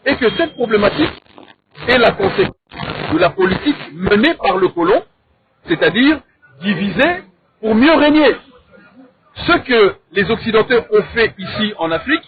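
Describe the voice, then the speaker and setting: A man with a Congolese accent debating in a measured, didactic way, in short deliberate phrases and with rhetorical questions. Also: high voice. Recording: thin, phone-like narrow sound.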